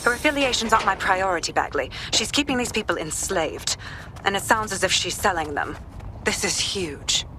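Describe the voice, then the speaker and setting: An actress playing a determined, businesslike ally directing hackers in a video game, brisk and stern. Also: female voice, medium pitch, animated, pushed and bright, slight background noise. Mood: stern, urgent. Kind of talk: scripted video game acting.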